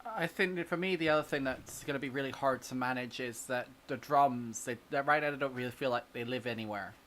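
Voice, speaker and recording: A woman giving measured, practical feedback on drums and plugins during a live stream chat. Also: a bass voice, a little hiss or hum.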